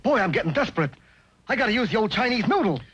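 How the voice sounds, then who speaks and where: A man voicing a young ugly duckling in an old cartoon, a wisecracking, slangy complainer with a creaky, sharp voice. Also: high-pitched, very animated, fast. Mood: wry complaint. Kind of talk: 1960s cartoon voice acting. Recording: noisy old TV cartoon soundtrack.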